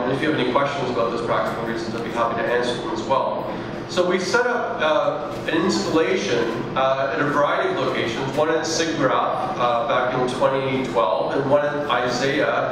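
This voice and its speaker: A man's voice: medium pitch, fairly even intonation, brisk, crowd noise. A man giving a live science talk with slides, explaining step by step with frequent fillers and restarts. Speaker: speaker at a public science talk. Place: event room with projected slides. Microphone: hand microphone, recorded from the audience. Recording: echoey room, taken from far off.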